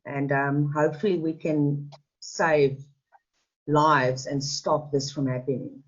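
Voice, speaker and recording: Low-voiced woman presenter. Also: fairly even intonation, takes it slowly, dull audio. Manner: chatty, reassuring, supportive. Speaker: radio presenter. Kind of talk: phone chat.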